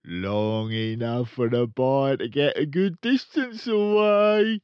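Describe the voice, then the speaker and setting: A man voicing a rude, boastful, crooked car-dealer father in a storybook, loud and sneering. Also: medium pitch, slow pace, clear, resonant tone, no room sound, lo-fi sound, voice pushed up, close to an everyday voice. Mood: gleeful bragging. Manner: loud bragging, sneering, colloquial.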